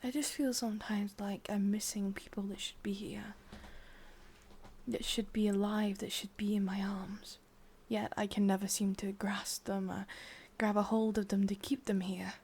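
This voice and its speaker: Breathy, whispering English witch, played by a woman. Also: medium pitch, level tone, a little mellow. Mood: wistful, melancholy. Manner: slow, halting, intimate murmuring with sighs. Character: sadistic, lovesick young witch, wistful and possessive. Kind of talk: whispered ASMR roleplay.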